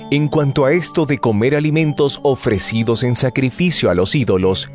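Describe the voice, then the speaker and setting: Actor como apóstol solemne, con música. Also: male voice, mid-range voice, a little mellow, close to an everyday voice. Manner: measured, solemn, didactic. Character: solemn male apostle teaching believers. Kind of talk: dramatized scripture reading. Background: background music.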